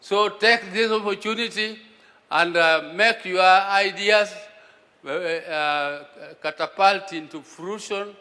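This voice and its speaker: Older Kenyan man, high bright tone, slow halting delivery, in a reverberant hall. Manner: earnest, halting, with repeated restarts. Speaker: elder speaker at a national forum. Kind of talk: public address. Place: large conference hall.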